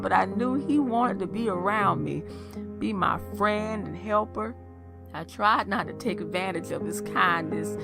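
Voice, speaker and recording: A folksy older country woman, played by an actress, tells childhood memories with wry feeling. Her mid-pitched voice swings with lively intonation over clearly audible music in an audio drama.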